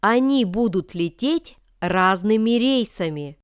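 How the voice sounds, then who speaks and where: Very clear, slow-reading woman. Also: medium pitch, animated, dry recording, studio quality. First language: Russian. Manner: careful, deliberate enunciation. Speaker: language lesson reader. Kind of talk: reading example sentences slowly for learners.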